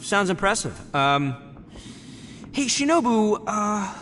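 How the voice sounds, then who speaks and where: An actor playing a brash, boastful young male oni gang boss who talks in a loud, slangy, swaggering way. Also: high voice, sing-song, takes it slowly, a little hiss or hum, heavily acted. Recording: game audio, reverb and music. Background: game music.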